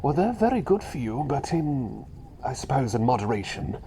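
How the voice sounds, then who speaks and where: Man voicing a fussy whispering bird doctor, swooping pitch. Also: very animated, moderate pace, noisy background. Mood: chatty, earnest. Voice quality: breathy, half-whispered. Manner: rambling, repeats himself, politely donnish. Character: fussy, chatty doctor turned small talking bird. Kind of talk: ASMR roleplay, hushed personal attention.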